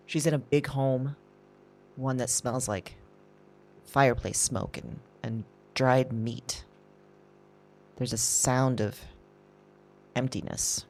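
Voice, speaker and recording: Casting: actress as anxious female diarist for intimate audio drama; unhurried, halting, whisper-prone delivery. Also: extremely low pitch, darkish tone, exaggerated voice. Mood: hushed, uneasy. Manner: halting, breathy, repeats herself, drops into whispers. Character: shaken, anxious woman recording a diary of strange visitations. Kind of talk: intimate confessional audio diary acting.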